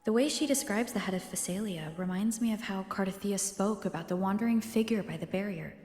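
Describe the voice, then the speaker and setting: An actress voicing a composed young woman hero's inner monologue in a video game, measured and matter-of-fact. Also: mid-range voice, darkish tone, lightly acted. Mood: pensive. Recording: long echoing reverb on voice.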